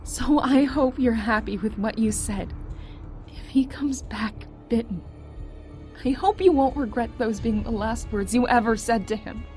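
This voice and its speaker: High-pitched, reproachful young woman played by an actress. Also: unhurried, darkish tone, lightly acted. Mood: angry reproach. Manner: urgent, reproachful. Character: upset, reproachful young woman scolding a companion. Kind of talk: emotional audio drama acting. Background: faint background music.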